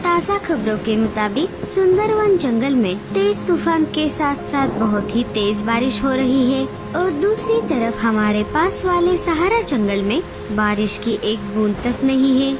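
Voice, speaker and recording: Slow, high-pitched cartoon newsreader played by a woman. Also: sing-song, music in the background. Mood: formal. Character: female jungle bird television newsreader, formal. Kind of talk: scripted news bulletin parody.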